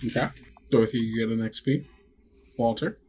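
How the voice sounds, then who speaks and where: A man narrating as game master of a tabletop podcast, unhurried and often pausing on fillers. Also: mid-range voice, fairly even intonation, darkish tone, slight background noise. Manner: unhurried, hesitant with many fillers.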